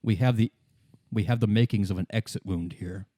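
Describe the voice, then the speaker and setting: A man running a tabletop roleplay as game master, improvising the narration and haltingly thinking aloud. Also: fairly low pitch, dark tone, no echo, studio quality.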